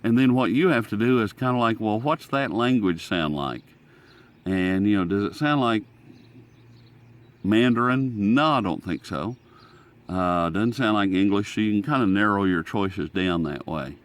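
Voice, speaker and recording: A man's voice: on the low side, a little slow. An American man hosting a casual hobby radio demonstration outdoors, talking in a folksy, rambling way. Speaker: host. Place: outdoors in sunlight.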